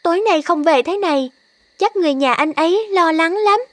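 A woman's voice: extremely high pitch, brisk, dry recording. A woman voicing a dutiful, caring young village daughter in a cartoon, polite and concerned. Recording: loud music under the voice.

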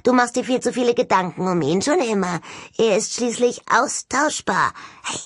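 A woman's voice: on the high side, animated, moderate pace, no echo, clean studio sound, voice pushed up. A woman voicing a sullen, sardonic male ghost of a skull in a jar for an audiobook, grumbling and mocking with indignation. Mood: sardonic, goading. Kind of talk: audiobook character voice.

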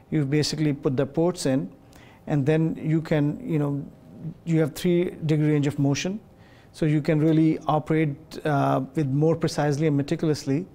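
A middle-aged man from Pakistan, a urologist, answering questions in a studio interview in a measured, explanatory way with many fillers, on a lapel mic. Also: on the high side, warm tone. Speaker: urologist guest. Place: television studio set.

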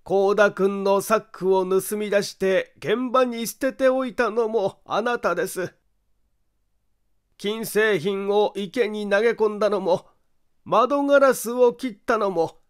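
Man voicing an eerie, high-pitched, slow-spoken male detective. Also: clean tone. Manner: slow, deliberate, insistent. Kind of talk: dramatic audiobook character acting.

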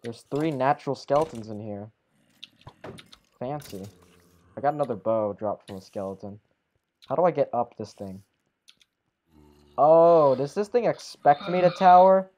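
A man's voice: mid-range voice, expressive, dark tone. A man playing a video game with friends, rambling and correcting himself as he talks. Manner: rambling, self-correcting, quick exclamations. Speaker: game player. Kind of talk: casual gaming chat.

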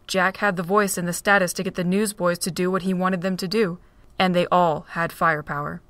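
A woman's voice: lowish voice, steady tone, fairly quick, fairly bright tone, no echo. A woman narrating a fan-made audio drama, reading steadily.

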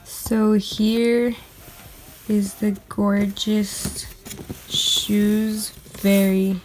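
Slow, hesitant young-sounding female reviewer. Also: mid-range voice, flat delivery, darkish tone, poor audio. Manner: hesitant, halting, informal. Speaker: sneaker reviewer. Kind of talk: casual unscripted product review. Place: bedroom at home. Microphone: handheld phone or camera. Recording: clicks and light background noise.

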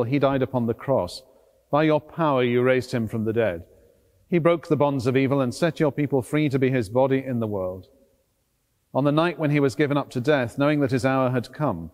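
A middle-aged man, a priest, reciting solemn liturgical prayer in measured, deliberate phrases inside a large church. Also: mid-range voice, darkish tone. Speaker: priest, celebrant at a church service. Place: large stone cathedral, at the altar. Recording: long reverb of a large church.

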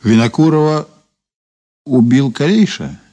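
A man's voice: mid-range voice, expressive, a little slow, mellow, close to an everyday voice. A male actor playing a sober criminal investigator in a Soviet radio drama, restrained, formal and matter-of-fact. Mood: probing, terse. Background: music.

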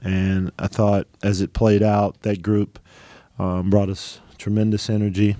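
Middle-aged coach at a postgame presser, low dark monotone, dry close sound, lots of ums. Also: male voice, no room sound. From United States. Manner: halting, many ums and restarts. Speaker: head basketball coach. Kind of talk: post-game press conference answers.

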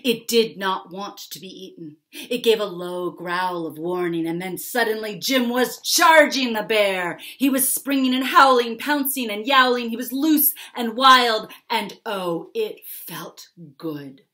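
A woman in her forties narrating a children's story expressively, with dramatic pauses and emphasis. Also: mid-range voice, animated, sharp tone. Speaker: picture-book author reading aloud. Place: small furnished room at home, indoors.

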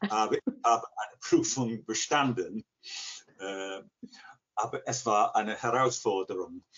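Guy in his 60s w english accent, not his first language, low slow voice, keeps searching for words, muffled call audio. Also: a bit bright. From England, near Liverpool. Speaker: interview guest, language learner. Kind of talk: conversational interview answers. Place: living room at home. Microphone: computer mic on video call. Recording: dull, lo-fi video call.

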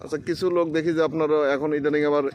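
Male field interviewer, Bangladeshi accent, brisk and inquisitive, repeats answers, noisy rural outdoor recording. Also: fairly high pitch, flat delivery, a little mellow. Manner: inquisitive, echoes back answers. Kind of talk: casual street interview, short questions. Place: outdoors in a village.

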